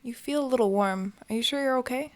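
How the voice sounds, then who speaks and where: A woman playing an anxious, caring young fugitive in an audio drama, soft, worried and hesitant. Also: on the high side, fast talker, no echo, natural voice. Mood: concerned.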